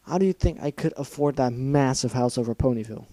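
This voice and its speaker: A man's voice: medium pitch, fast, warm tone, no room sound, close to an everyday voice. A man voicing a cruel, bitter female pegasus villain who screams accusations in a fan story reading. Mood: furious.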